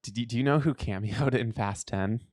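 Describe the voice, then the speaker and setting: A man co-hosting a podcast, chatting informally and telling stories in an animated, giggly way. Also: mid-range voice, normal speed, no echo, clean studio sound. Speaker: podcast co-host. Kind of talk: casual chat. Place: podcast studio.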